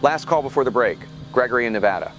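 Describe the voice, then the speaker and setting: A man playing a brisk late-night radio host fielding callers on a talk show. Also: mid-range voice, expressive, fairly bright tone, faint music behind, natural voice. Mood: measured, probing. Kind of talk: call-in radio show acting.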